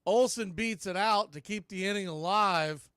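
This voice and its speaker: Man, high-pitched voice, baseball radio announcer, live play-by-play commentary, dry close sound. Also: normal speed, fairly bright tone, clean tone, dry recording.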